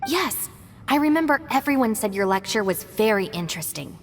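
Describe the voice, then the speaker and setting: A woman voicing a calm, practical young deputy in a video game, composed and matter-of-fact, with long reverb. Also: fairly high pitch, a little fast, a little hiss or hum. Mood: polite, friendly. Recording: long reverb, music underneath.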